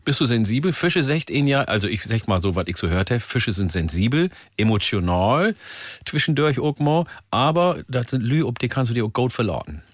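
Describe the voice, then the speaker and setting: Radio host man, lively up-and-down voice, a bit husky, dry studio sound, chatty and teasing. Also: mid-range voice, no room sound. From northern Germany. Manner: chatty, teasing, asks lots of quick questions. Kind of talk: casual radio interview. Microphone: studio microphone.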